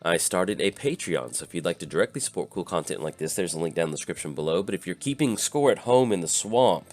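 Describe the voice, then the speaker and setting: A tired man with a slightly raspy voice, hosting and casually commenting on his own card game as he plays, thinking aloud with lots of pauses. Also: low voice, animated, soft background music. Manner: easygoing, thinks aloud with many filler pauses. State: tired from little sleep. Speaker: channel host.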